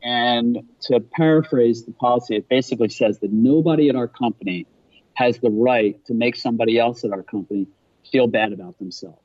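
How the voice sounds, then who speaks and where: A man with a mid-pitched voice, talking as an interview guest. He explains things earnestly and with emphasis, through a somewhat dull, lo-fi channel. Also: fairly quick, darkish tone. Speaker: interview guest, business owner. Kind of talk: conversational interview answers.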